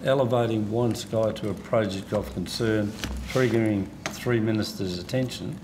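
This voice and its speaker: An Australian man questioning officials as a senator in a hearing, with a blunt, halting, sardonic manner. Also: fairly low pitch, noisy background. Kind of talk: parliamentary questioning. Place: committee hearing room.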